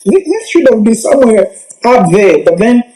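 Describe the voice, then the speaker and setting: High-voiced man hosting a podcast. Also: moderate pace, over a noisy crowd. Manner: relaxed, rambling with restarts. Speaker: podcast host. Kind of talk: casual podcast interview. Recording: roomy echo.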